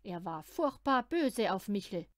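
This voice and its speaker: Slow, lively woman reading to children. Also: mid-range voice, no echo, studio quality. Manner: expressive, addresses the child listener. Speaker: audiobook reader. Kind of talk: scripted children's story narration.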